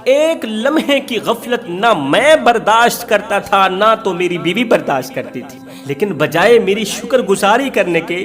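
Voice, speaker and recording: A man's voice: high voice, animated, unhurried, hardly any pauses, fairly bright tone, soft background music. A man delivering an emotional Islamic sermon, telling a moral story in an impassioned, exhorting way with emphatic repeated phrases. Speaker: Islamic preacher.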